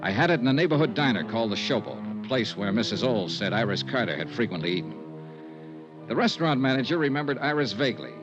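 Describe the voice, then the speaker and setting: An actor playing a polite, wry hard-boiled insurance investigator who also narrates a 1950s radio drama, in a dry, courteous manner. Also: male voice, mid-range voice, animated, quick pace, over music, lightly acted. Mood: dry, narrating. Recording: muffled 1950s radio recording.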